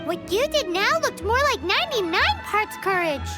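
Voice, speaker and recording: A woman's voice: very high-pitched, sing-song, normal speed. A woman voicing a tiny, chatty fairy companion in a video game, bubbly and childlike. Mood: curious. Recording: game audio with music behind.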